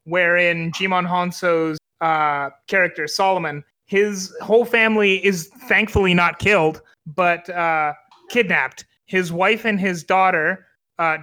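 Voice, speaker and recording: High, bright-voiced young man chatting. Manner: chatty, many fillers and restarts. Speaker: podcast co-host. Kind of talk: casual film discussion.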